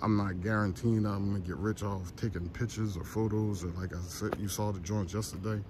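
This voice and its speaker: A man's voice: fairly low pitch, fairly even intonation, fairly quick. A man, rambling casually in slangy, offhand, joking vlog chat. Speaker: podcast host and photographer. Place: outdoors by trolley tracks on a trestle. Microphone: handheld phone at arm's length. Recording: noisy outdoor phone recording. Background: clear outdoor ambient noise.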